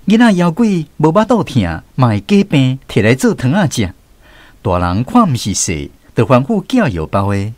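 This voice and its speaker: A middle-aged man narrating a scripted first-person radio story in a folksy, expressive way, with a Taiwanese accent. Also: mid-range voice, normal speed, no room sound. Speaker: drama narrator.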